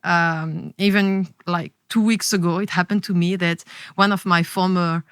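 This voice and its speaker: A woman with a French accent, a podcast guest speaking in short, halting phrases. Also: on the low side, steady tone, a bit bright, clean tone, no echo, studio quality. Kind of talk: conversational interview.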